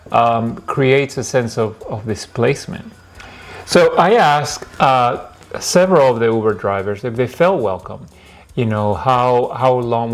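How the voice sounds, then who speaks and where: A man talking on his podcast in a casual, hesitant way, full of fillers and restarts. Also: mid-range voice. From Peru. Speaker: podcast host. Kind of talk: casual solo podcast talk.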